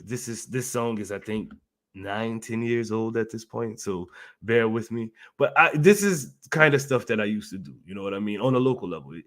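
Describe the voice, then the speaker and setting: A man in his thirties hosting his channel, telling stories to camera casually, chatty, laughing often and repeating himself. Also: fairly low pitch. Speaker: channel host.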